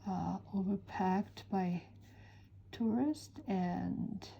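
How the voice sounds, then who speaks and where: A woman from western Japan with a Japanese accent, chatting in an unhurried, soft-spoken way with frequent pauses while she draws. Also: medium pitch, creaky, husky, highs cut off. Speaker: art instructor. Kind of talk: relaxed chat while drawing.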